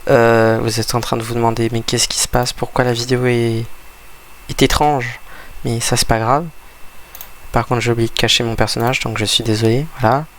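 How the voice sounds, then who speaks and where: A man's voice: medium pitch, little pitch movement, normal speed, fairly bright tone, slight background noise. A man with a cold and a stuffy voice, giving rambling, hesitant casual commentary over a game he is playing, often restarting his sentences. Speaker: gaming video creator.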